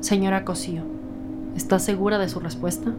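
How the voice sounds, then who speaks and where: A woman playing a firm, authoritative special agent who questions formally and deliberately in a crime audio drama. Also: mid-range voice, little pitch movement, over music, lightly acted. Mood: stern, controlled.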